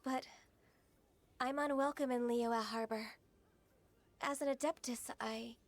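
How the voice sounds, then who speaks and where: A woman voicing a gentle, earnest half-immortal maiden in an anime video game, speaking in a soft, polite, formal and hesitant way. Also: a little high, fairly even intonation, takes it slowly. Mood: troubled, hesitant.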